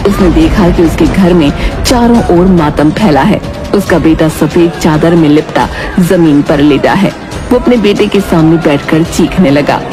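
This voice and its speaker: A woman's voice: sits low, unhurried, over music. A woman narrating a scripted children's cartoon story as the storyteller.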